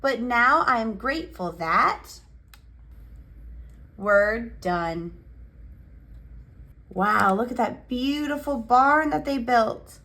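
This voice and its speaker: A woman reading a picture book aloud for young children in an expressive, cheerful and measured storytelling manner. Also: fairly high pitch. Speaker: children's picture book reader and channel host.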